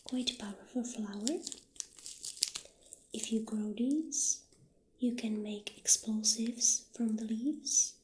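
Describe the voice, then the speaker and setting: A woman speaking softly and breathily, often near a whisper, in a gentle ASMR roleplay as a witch shopkeeper. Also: on the high side, takes it slowly, pauses often. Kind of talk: soft-spoken, half-whispered ASMR roleplay. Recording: roomy echo, audible background noise.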